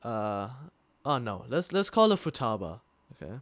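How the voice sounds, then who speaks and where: A man's voice: mid-range voice, big pitch swings, moderate pace, crowd noise. A young man co-hosting a casual podcast, telling a story with lots of fillers, restarts and swearing. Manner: rambling, swears often, many fillers and restarts. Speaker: podcast co-host. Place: living in Thailand, attends school there.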